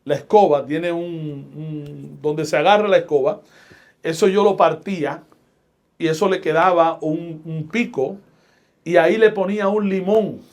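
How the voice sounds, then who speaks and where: A middle-aged man with a Puerto Rican accent, speaking as a guest pastor and radio broadcaster. He talks in a measured, emphatic preaching cadence and leans on each phrase. His voice sits a bit higher than most men's. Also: steady tone. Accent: Puerto Rican Spanish. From Puerto Rico. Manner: deliberate, emphatic preacher's delivery with scripture quotes. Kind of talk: podcast interview, storytelling.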